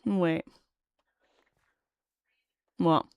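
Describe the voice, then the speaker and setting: A young woman with a Geordie accent, chatting quickly and laughing often while streaming a game. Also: no echo. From North East England. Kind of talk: casual chat while gaming.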